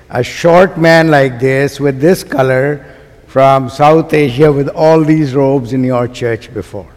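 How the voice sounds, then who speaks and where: A man with a Pakistani accent preaching a sermon to a congregation, using emphatic, deliberate phrasing and putting rhetorical questions to his listeners. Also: fairly high pitch. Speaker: guest preacher. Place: large church sanctuary. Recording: long hall reverb of a church sanctuary.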